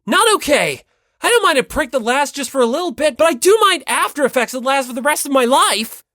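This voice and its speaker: An ordinary teenage boy, acted by an audiobook reader, exasperated and put-upon. His voice is raised and lively as he protests, heard close and dry. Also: high-pitched, a little fast, dry recording, pitched above the performer's own voice, full character voice. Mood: indignant, alarmed. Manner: protesting, indignant retorts.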